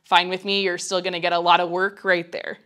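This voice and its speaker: A woman's voice: fairly low pitch, level tone, brisk, pushed and bright. A young woman in her twenties with a nasal, cold-stuffed voice, coaching a mat pilates workout while doing it herself, a little breathless, chatty and encouraging as she counts down. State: getting over a cold, slightly breathless from exercise. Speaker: pilates instructor. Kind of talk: guided workout instruction. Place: small home studio, indoors.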